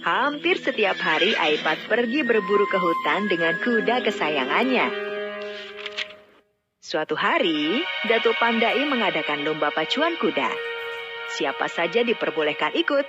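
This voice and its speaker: A woman's voice: mid-range voice, big pitch swings, a little slow. A woman narrating a scripted fairy tale as a storyteller. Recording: dull lo-fi audio, music bed. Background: background music.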